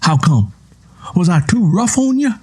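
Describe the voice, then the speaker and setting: A man voicing a rough, domineering young country husband in an audiobook, with a folksy, badgering way of talking. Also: sits high, sing-song, unhurried, darkish tone, voice pushed up, heavily acted. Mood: probing. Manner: folksy dialect, badgering questions.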